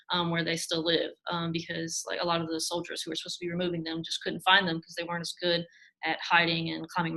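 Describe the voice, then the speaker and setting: Bright, flat-toned woman explaining. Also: on the low side, poor audio. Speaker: student presenter. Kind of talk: casual panel talk.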